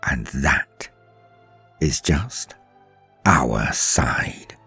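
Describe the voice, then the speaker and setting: A deep-voiced man tells a dramatic scripted story with solemn weight and deliberate pauses. His voice is a little rough-edged, recorded dry and close over soft background music. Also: animated, no room sound. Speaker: storyteller and narrator. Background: faint music.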